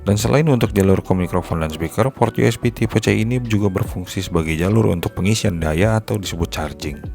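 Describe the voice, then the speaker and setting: A man's voice: low voice, fairly quick, vocal fry, darkish tone. A man narrating a scripted gadget review as a product reviewer. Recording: music behind the voice. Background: music.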